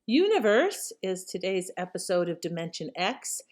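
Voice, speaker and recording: A woman's voice: lowish voice, big pitch swings, normal speed. A woman host giving a casual introduction to an old radio show in a hesitant, apologetic manner.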